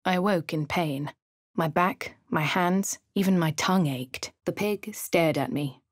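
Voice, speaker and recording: A posh adventurer heroine. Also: female voice, fairly low pitch, a little slow, dry recording, studio quality. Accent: posh English. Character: posh female adventurer, wry and composed. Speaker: voice of the player character. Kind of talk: game voice lines and narration.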